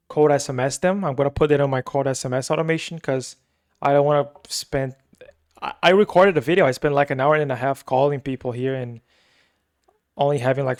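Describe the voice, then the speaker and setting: A man's voice: medium pitch, a little mellow. A young man with a Brazilian accent, talking through his work week in a loose, rambling vlog with many fillers and repeats. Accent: Brazilian Portuguese. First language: Portuguese. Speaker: agency owner recapping his week. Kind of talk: casual weekly recap vlog.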